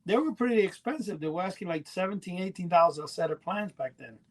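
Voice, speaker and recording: A man's voice: high voice, lively intonation, quick pace. A middle-aged man chatting casually as a podcast co-host, explaining in a rambling way and correcting himself as he goes.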